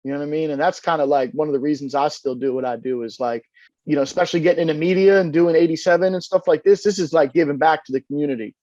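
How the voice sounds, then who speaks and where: A man's voice: on the high side, fast, darkish tone, no echo. Man interviewer, dull dry lo-fi sound. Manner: affirming, frequent "yeah" backchannels. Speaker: interviewer, producer. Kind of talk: casual interview chat.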